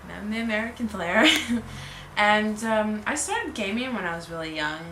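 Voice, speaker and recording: A woman's voice: medium pitch, expressive, moderate pace. Easygoing woman gamer. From San Jose, California. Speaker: pro gamer. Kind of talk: casual interview. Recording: echoey room, noisy.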